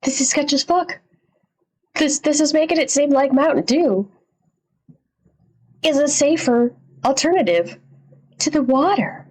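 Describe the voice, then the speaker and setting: A woman's voice: high voice, lively intonation, unhurried, pauses often, darkish tone. Casual slangy woman reacting live. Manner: slangy, mildly profane, repeats phrases. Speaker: livestream host reacting to a horror series.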